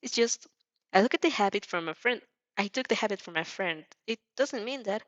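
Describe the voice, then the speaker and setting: A woman's voice: medium pitch, expressive, brisk, no room sound, highs cut off, natural voice. Woman playing a mumbling, bashful little girl. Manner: mumbling, awkward and earnest. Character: bashful, rambling, bright six-year-old girl.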